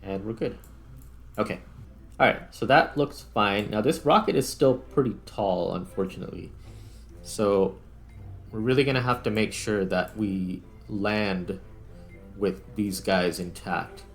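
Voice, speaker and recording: A man's voice: lowish voice, a little slow, soft background music. A man giving casual let's-play commentary as a gaming video host, thinking aloud in an informal way.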